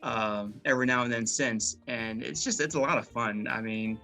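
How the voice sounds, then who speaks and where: A man's voice: medium pitch, fairly even intonation, moderate pace, gravelly, bright, forceful tone, soft background music. A man, a guest chatting casually with many fillers and restarts. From Maryland, USA. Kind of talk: casual interview chat.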